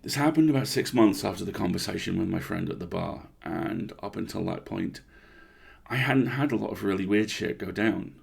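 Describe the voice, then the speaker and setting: A man narrating a horror story in the first person, conversational and deliberate, with dramatic pauses. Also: low voice, vocal fry, husky.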